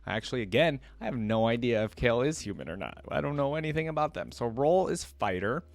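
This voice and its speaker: A man's voice: medium pitch, expressive, fast, creaky. A man hosting a reaction video, talking conversationally and thinking aloud as he comments and reads lore.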